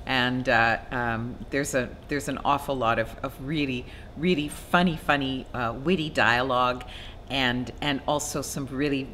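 A middle-aged woman speaks as an actress in a promotional interview. Her voice is extremely low yet bright, and she is conversational and emphatic. Faint noise sits behind her. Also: fairly even intonation, unhurried. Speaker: stage actress. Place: indoor theatre set.

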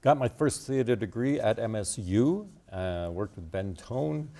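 Low-voiced older American man, casual. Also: expressive, unhurried, mellow. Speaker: interview guest, theater performer. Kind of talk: casual chat. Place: TV studio.

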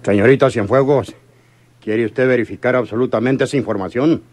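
An actor in a radio drama playing a ruthless, commanding crime boss who speaks curtly and questions like an interrogator. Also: male voice, mid-range voice, a little fast, a little mellow, natural voice. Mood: stern, probing. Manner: curt, interrogating, domineering. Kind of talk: radionovela acting.

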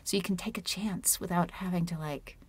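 A woman in her thirties speaks as a guest on a home video call with a wired earphone mic. Her voice sits a little low, and the sound is dry and close, with no room around it. She talks loosely and self-deprecatingly, with plenty of ums and restarts. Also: steady tone, fairly quick, breathy edge, no room sound. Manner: chatty, self-deprecating, many fillers. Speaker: author and puppeteer guest. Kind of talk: casual video-call interview. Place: home room with a red curtain.

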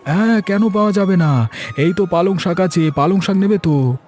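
Cartoon casting: male actor as young market vendor, touchy and chatty, pitched up, haggles firmly. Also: moderate pace, darkish tone, faint music behind, natural voice. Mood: brisk, businesslike. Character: brisk, touchy young male village vegetable seller. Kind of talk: animated cartoon story dialogue.

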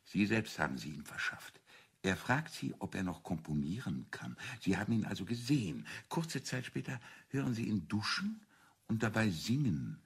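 An actor playing a shrewd priest and amateur detective in a German radio crime drama, explaining deliberately and with self-assurance. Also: male voice, on the low side, big pitch swings, vocal fry, breathy edge, heavily acted. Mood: assured, triumphant.

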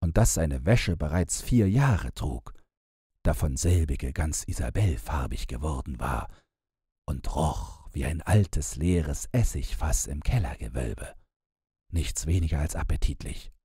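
Deep, husky man reading a bedtime fairy tale. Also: lively intonation, measured pace, dark tone, dry recording, studio quality. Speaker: audiobook narrator.

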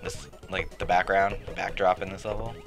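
Deep-voiced young man joking over gameplay. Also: little pitch movement, brisk. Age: late teens to young adult. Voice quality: slightly raspy. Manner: joking, rambling, self-mocking. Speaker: let's play commentator. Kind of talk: casual gameplay commentary. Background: game music.

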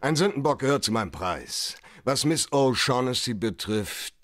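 Actor as sardonic private eye, swinging intonation. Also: male voice, medium pitch, expressive, normal speed, a bit bright, no echo, studio quality, natural voice. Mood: firm, provoking. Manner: blunt, sardonic, unflappable. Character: hard-boiled male private detective, cool and bargaining. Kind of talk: radio crime drama acting.

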